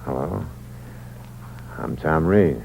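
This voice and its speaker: A deep-voiced noir killer. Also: male voice, takes it slowly, creaky, darkish tone, slightly rough, heavily acted. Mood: wary. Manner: tough slangy talk, clipped and menacing. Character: hard-boiled male con man and killer, cold. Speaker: narrator and lead character. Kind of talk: 1950s radio drama, first-person noir narration. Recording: dull 1950s radio broadcast with hum.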